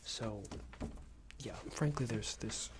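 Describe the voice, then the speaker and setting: A man playing a smug, unreasonable boss in an ASMR roleplay, scolding an employee in a soft breathy whisper with a hesitant, condescending manner. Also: slow pace, background noise. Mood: condescending, disapproving. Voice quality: breathy soft whisper with low creaky murmur. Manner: hesitant, condescending, meandering reprimand.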